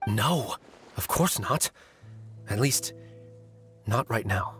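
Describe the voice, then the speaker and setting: A man playing a calculating, vengeful novelist in a video game, speaking in a measured, theatrical, literary way. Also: lowish voice, lively intonation, fairly bright tone, faint music behind. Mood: coy. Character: eloquent, brooding male novelist, calculating and vengeful.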